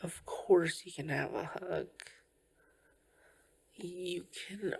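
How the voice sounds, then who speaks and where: A tender girlfriend voiced by a woman soothes her partner in an ASMR roleplay. Her voice is slightly low and husky-soft, often near a whisper. She takes her time, speaking very slowly with gentle, reassuring care. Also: full character voice. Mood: tender concern. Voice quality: breathy, often slipping into whispers. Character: tender, caring girlfriend comforting a tired partner.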